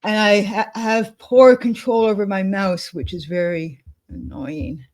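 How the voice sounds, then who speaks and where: A woman co-hosting a casual chat, thinking aloud as she clicks through software at her desk, with frequent laughs. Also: medium pitch, fairly even intonation, unhurried. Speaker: co-host demonstrating software. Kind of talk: casual screen-share chat.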